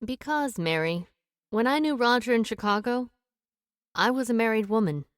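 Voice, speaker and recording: An actress playing a proud, secretive businesswoman who speaks briskly and tartly in a radio play. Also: fairly high pitch, animated, clear, resonant tone, close to an everyday voice. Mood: defensive. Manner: brisk, tart and defensive. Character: sharp, proud woman business owner, secretive. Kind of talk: radio drama acting.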